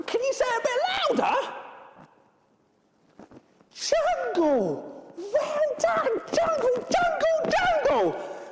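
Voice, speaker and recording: A woman playing an excitable, scatty, friendly adventurer in an interactive children's stage show, exaggerated and playful as she talks straight to the children. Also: sits high, very noisy, heavily acted. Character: excitable, scatty, friendly adventurer setting off by hot air balloon. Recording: echoey hall with long reverb.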